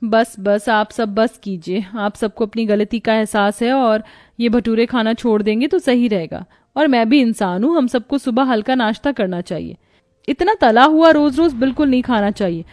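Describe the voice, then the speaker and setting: A woman's voice: on the high side, normal speed, darkish tone, no room sound, clean studio sound, close to an everyday voice. A woman voicing a young, overworked, exasperated daughter-in-law in a cartoon story, with a dramatic complaining manner. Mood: firm, pleading. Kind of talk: Hindi cartoon story voice acting.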